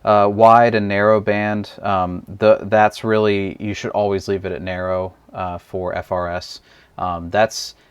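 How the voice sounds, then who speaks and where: Low-voiced man explaining a gadget. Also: smooth, dry recording. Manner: relaxed, explanatory, talks while handling the device. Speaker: product reviewer. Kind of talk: casual hands-on gadget walkthrough.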